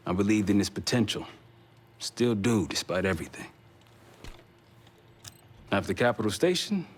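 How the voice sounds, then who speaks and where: An actor playing a frank, streetwise American covert operative in a military game, talking colloquially. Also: male voice, on the low side, natural voice. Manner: colloquial, plain-spoken. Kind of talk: cinematic military game acting.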